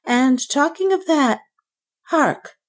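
A woman voicing a feverish older male banker who talks in wheedling, unreal tones and sounds excited and tremulous. She reads him in a storybook setting. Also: very high-pitched, measured pace, pitched above the performer's own voice, exaggerated voice. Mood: pleading.

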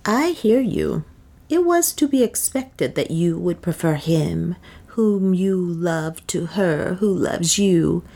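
A woman's voice: fairly low pitch, lively intonation, slow, creaky, mellow, full character voice. A woman voicing a proud, bitter serving woman in an audiobook, with solemn, archaic, declamatory phrasing. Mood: bitter, reproachful.

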